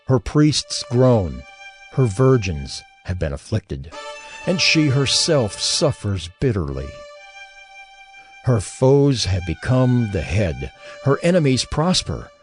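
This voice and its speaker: A man gives a solemn, dramatized scripture narration in a lowish voice. He moves very slowly, with grave, deliberate phrasing, while faint music plays beneath him. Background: music behind the voice.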